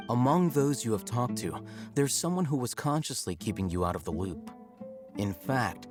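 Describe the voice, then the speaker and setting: Guy acting cool aloof smart scholar from a video game, lowish voice, measured n a bit condescending, music under it. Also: close to an everyday voice. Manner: measured, matter-of-fact, slightly condescending. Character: aloof, rational young male scholar, coolly self-assured.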